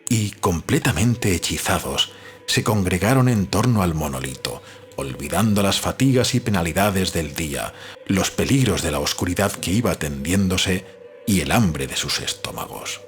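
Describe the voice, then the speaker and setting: A man reading a novel aloud as a narrator, in a measured, solemn storytelling manner. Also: deep voice, animated. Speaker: audiobook narrator. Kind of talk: scripted literary narration of a novel.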